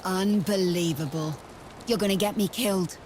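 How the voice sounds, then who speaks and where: A woman's voice: medium pitch, fairly even intonation, normal speed, a little mellow, a little hiss or hum, lightly acted. An actress playing a bitter, defiant woman fighter in a game cutscene, scornful and accusing. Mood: resentful. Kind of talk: video game cutscene acting.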